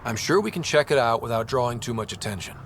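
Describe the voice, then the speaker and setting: A man playing a confident, decisive young space squad leader in a video game, with a brisk commanding delivery. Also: mid-range voice, animated, a bit bright, slight background noise. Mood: determined. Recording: music behind the voice.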